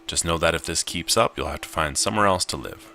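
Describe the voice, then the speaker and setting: A man's voice: deep voice, fast, creaky, sharp tone, full character voice. A man voicing a stern, scolding middle-aged landlady who lectures, reading a visual novel aloud. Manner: nagging, lecturing.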